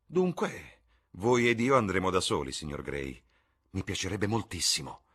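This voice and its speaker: Guy putting on a husky, airy aristocrat, raised and loud, swoops all over the place, mocking and theatrical. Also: sits low, expressive, normal speed, pitched above the performer's own voice, exaggerated voice. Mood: teasing. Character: witty languid aristocratic gentleman, older man. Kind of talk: audiobook character voice.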